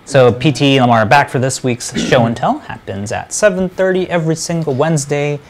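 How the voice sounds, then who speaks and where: Laid back guy with some fry in his voice, chatting on a maker livestream. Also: fairly high pitch, moderate pace. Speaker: co-host. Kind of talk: casual live-stream chat.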